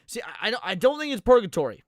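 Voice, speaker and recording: A man giving casual live reaction commentary as a streamer, animated and full of profane slang. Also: high voice, fast talker, slight background noise. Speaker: streamer reacting to horror video.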